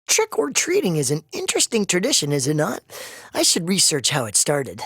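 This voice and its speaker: A formal, inquisitive fantasy game heroine. Her voice is low and husky, with bookish wording and very swooping intonation. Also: wildly swooping pitch, a little fast, fairly bright tone, no room sound, exaggerated voice. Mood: curious, composed.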